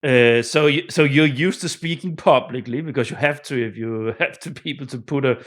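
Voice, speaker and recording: A man hosting a podcast interview in an informal, halting conversational way, often repeating words and restarting. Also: mid-range voice. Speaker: podcast host.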